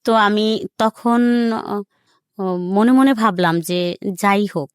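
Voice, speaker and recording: A woman's voice: mid-range voice, slow, dry recording, studio quality. A woman with a Bangladeshi accent recounts her life story as an interview guest, speaking colloquially and acting out remembered dialogue. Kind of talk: personal storytelling in a radio interview.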